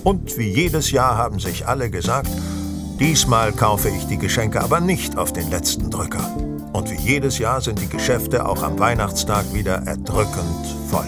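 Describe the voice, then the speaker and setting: A man narrating a horror radio play as a measured storyteller. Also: on the low side, animated, over loud music, close to an everyday voice. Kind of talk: scripted audio drama narration.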